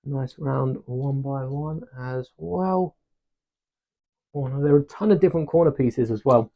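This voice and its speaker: A man hosting a long live stream from his desk, chatting casually and thinking aloud as he builds, with a relaxed, self-deprecating manner. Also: mid-range voice, a little slow, lo-fi sound. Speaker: livestream host building a brick set. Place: home desk during a livestream. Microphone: desk microphone.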